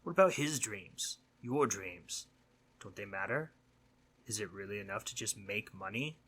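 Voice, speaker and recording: Sleep demon , played by a man: fairly low, raspy, forceful edge; mischievous, earnestly concerned, casual teasing delivery for roleplay audio. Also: lively intonation, a little slow, full character voice. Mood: earnest, frustrated. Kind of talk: scripted roleplay audio monologue.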